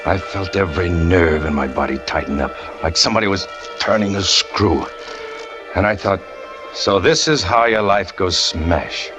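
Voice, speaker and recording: An actor playing a brooding, jealous young male trapeze artist who narrates in a low, tense, confessional way in a 1940s radio drama. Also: close to an everyday voice. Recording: muffled old radio broadcast with music.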